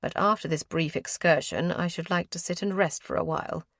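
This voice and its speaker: A woman's voice: on the low side, a little fast, creaky, fairly bright tone, dry recording, studio quality. A woman voicing a fussy older aristocratic aunt who is busy matchmaking, speaking in a genteel, coaxing way. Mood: teasing.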